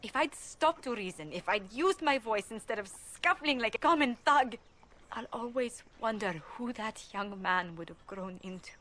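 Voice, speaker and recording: An actress playing a gentle, earnest noblewoman ambassador in a video game, speaking politely and formally with careful wording. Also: on the high side, lively intonation, normal speed, a little hiss or hum. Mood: anguished regret. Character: poised, courteous noblewoman ambassador, former bard, gentle and earnest. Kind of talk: video game cutscene acting.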